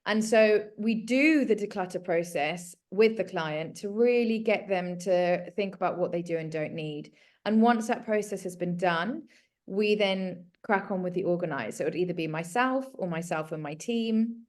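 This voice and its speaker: A woman's voice: medium pitch, moderate pace, a little mellow. A woman in her thirties from South Africa, a guest talking casually and earnestly about her work and her story. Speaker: guest, home organiser. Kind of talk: casual conversation.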